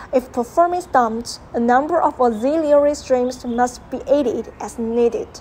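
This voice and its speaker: Young female narrator, high voice, careful scripted documentary read, with some background noise. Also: darkish tone, poor audio. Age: young adult.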